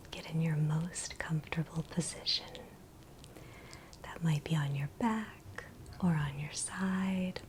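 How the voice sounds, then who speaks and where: A woman leads an ASMR guided meditation in a soft, breathy whisper, speaking with gentle, soothing reassurance. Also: on the low side, slight background noise.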